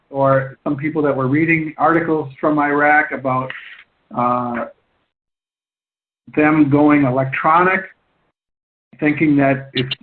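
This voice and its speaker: A man hosting a conference call, explaining things in a halting way, often repeating and rephrasing himself. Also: a little high. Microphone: phone line. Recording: tinny phone line conference call.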